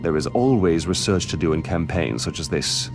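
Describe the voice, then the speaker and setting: An actor playing an eager scholarly mage in a video game, with a formal, emphatic and theatrical manner. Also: male voice, deep voice, lively intonation, normal speed, music in the background. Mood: resolute. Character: eager scholarly male mage leading a war campaign. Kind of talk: dramatic video game acting.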